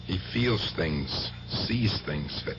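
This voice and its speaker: A man's voice: low voice, lively intonation, takes it slowly. A man acting in a radio drama as a protective, weary middle-aged uncle, with a plain, soothing manner. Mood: soothing, uneasy. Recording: dull, noisy old radio broadcast.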